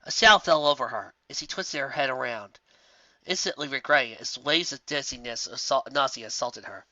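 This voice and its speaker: Sharp, bright male story narrator, lo-fi. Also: medium pitch, a little fast, dry recording. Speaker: narrator, fan fiction reader.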